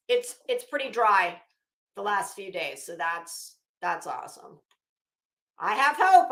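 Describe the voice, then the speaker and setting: An American woman hosting a livestream, chatting casually with viewers in a sarcastic, blunt, sweary and animated way. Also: a little high. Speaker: livestream host. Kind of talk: casual chat with live viewers. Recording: roomy, echoey sound.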